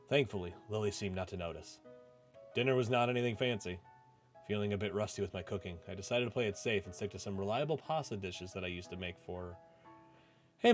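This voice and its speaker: A man with a fairly low voice narrates a first-person story from a visual novel. He reads it aloud as the host, with music playing behind him.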